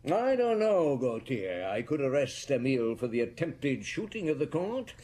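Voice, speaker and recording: An actor playing a shrewd, world-weary older police detective in a radio drama, measured and dryly ironic. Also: male voice, medium pitch, very animated, darkish tone, slight background noise, natural voice. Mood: firm, accusing. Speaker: police chief inspector.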